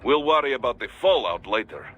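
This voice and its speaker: An actor playing a stern, pragmatic male mission adviser in a video game, measured and analytical in his delivery. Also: medium pitch, expressive, dull audio, music in the background, close to an everyday voice. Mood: sober, pragmatic. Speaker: mission support. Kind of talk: English video game voice acting.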